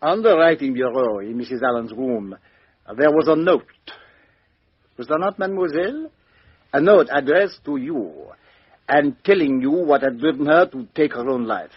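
An actor playing a shrewd, methodical foreign detective in a 1950s radio mystery, speaking in a deliberate, courteous, theatrical way with rhetorical questions. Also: male voice, a little high, husky, full character voice. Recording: dull lo-fi 1950s radio recording.

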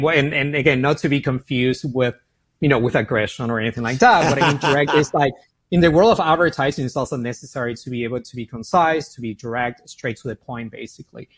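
Argentine-accented founder, animated and candid. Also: male voice, medium pitch, slightly rough. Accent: Argentine Spanish. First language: Spanish. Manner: animated, repeats words while thinking aloud. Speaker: founder and CEO. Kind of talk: interview answers.

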